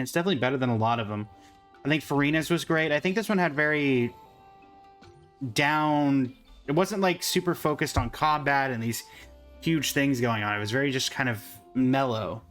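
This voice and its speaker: A man with a mid-pitched voice comments casually on a game he is playing. He is offhand and rambling, an informal host, with faint game music underneath. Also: animated, fairly quick, fairly bright tone. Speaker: gaming reaction host.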